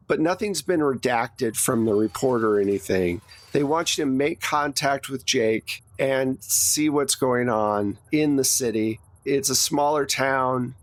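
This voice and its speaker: A man's voice: medium pitch, dry recording. A man narrating a tabletop roleplaying game as game master in an informal, explanatory, conversational way.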